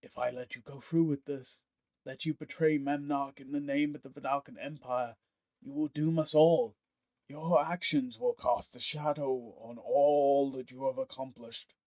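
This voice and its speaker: A man's voice: mid-range voice, fairly even intonation, a little slow, mellow, dry recording, natural voice. A man voicing a loyal, resolute male Vedalken warrior who stands by his duty, in an audiobook reading. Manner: firm, resolute.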